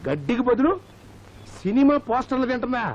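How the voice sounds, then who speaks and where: An actor playing a cocky, bossy know-it-all who fancies himself a director, in a film comedy. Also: male voice, extremely high pitch, big pitch swings, brisk, muffled recording, heavily acted. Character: cocky, bossy comic schemer, self-styled film director. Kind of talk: Telugu film comedy acting.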